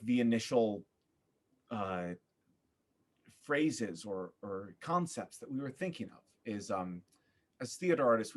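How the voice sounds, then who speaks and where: A man with a mid-pitched voice talks as a guest in a podcast interview. He is earnest and thoughtful, often pausing and reaching for the right words. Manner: halting, searching for words, earnest. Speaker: podcast guest, theater artist.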